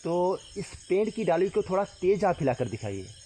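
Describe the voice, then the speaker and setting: A man from northern India hosting a ghost-hunting vlog, speaking earnestly and haltingly, repeating himself as he addresses spirits and viewers. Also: high-pitched, big pitch swings, mellow. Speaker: paranormal vlog host. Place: outdoors at night by a tree. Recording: noisy outdoor night recording with insect sounds.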